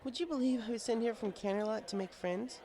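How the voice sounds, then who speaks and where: Man putting on a high, creaky bookish young mare. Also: fast talker, warm tone. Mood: tipsy, confiding. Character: studious young female pony, polite and loosening up.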